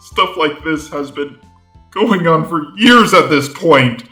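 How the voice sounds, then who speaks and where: A man's voice: on the high side, expressive, slow pace, bright, forceful tone. A man delivering a scripted personal statement as a video creator. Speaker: video creator responding to accusations. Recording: roomy echo with background music. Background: music.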